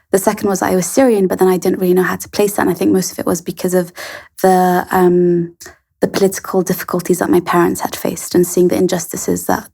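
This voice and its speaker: A woman's voice: lowish voice, vocal fry, darkish tone. A young adult woman hosting a casual interview, chatty and telling stories, with frequent fillers.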